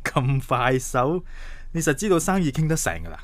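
A man's voice: on the high side, moderate pace, no echo, close to an everyday voice. An actor playing a mild, cautious and good-natured businessman in a radio drama. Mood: amused, wary. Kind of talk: Cantonese radio drama acting.

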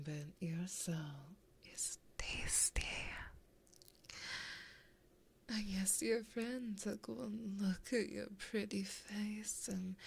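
Woman acting a slow, whispering, flirty demon. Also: fairly low pitch, full character voice. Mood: possessive, seductive. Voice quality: breathy, whispery. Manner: slow, teasing, intimate. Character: flirty, possessive, taunting demon, smugly seductive. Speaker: ASMR roleplay performer. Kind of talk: whispered ASMR roleplay. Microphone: close binaural microphone.

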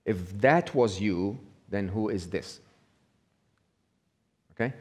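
Middle-aged man, low-pitched talk. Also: slow pace, darkish tone, husky. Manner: conversational, checks in with frequent "okay?". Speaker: speaker giving a talk. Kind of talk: live talk to an audience. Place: small indoor venue with brick wall.

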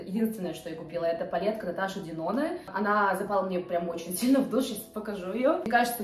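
A woman's voice: medium pitch, a little mellow. A woman of twenty-eight talking casually to the camera from her living room couch, hesitant and full of fillers. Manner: chatty, hesitant with many fillers, gestures with hands. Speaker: vlogger. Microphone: camera a few feet away. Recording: roomy sound with faint background music.

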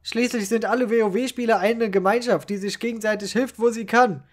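A man narrates a comic first-person story about online gaming. His voice sits high for a man, and his wording is colloquial and full of slang. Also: steady tone, moderate pace. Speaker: storyteller and channel creator.

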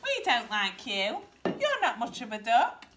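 A hostile big duck from a storybook, put on by a woman, scornful and raised high in pitch. Faint noise is behind the voice. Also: wildly swooping pitch, unhurried. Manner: scornful, sharp calls. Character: hostile, scornful big ducks, quacking and rejecting.

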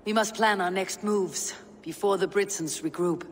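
An actor playing a calm, strategic Viking clan leader in a video game. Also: male voice, high voice, slight background noise. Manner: measured, diplomatic, firm. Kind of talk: video game cutscene acting.

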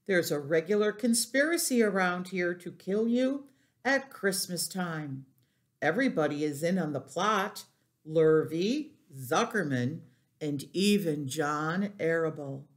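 A woman's voice: fairly low pitch, lively intonation, a little slow. A woman voicing a world-weary old ewe in a storybook, blunt and snappish. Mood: grim warning. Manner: matter-of-fact, snappish.

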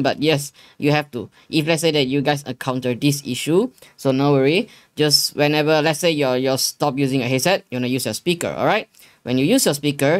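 Halting young man giving a casual tutorial. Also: on the high side. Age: young adult. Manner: repetitive, halting explanations with non-native English phrasing. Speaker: tutorial host. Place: living room gaming setup at home.

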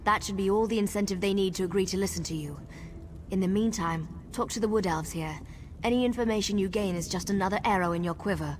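An actress playing a cautious, determined female wood elf scout in video game dialogue, speaking in a measured, earnest way. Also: medium pitch, close to an everyday voice. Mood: cautious, reassuring. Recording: game dialogue over clear ambient noise.

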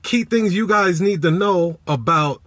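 Animated man talking in his car. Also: high voice, measured pace, breathy edge, no echo. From Chicago, United States. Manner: animated, preachy, greets viewers by name. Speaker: business coach. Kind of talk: live-stream motivational talk. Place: inside a parked car. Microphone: phone at arm's length.

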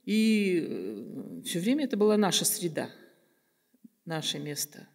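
An older woman from Kazakhstan, a guest artist answering questions thoughtfully, with many pauses and hesitant fillers. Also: on the low side, sing-song. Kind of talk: reflective interview talk. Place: bright room with plants, seated at table. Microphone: table microphone on stand. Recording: roomy reverberant room.

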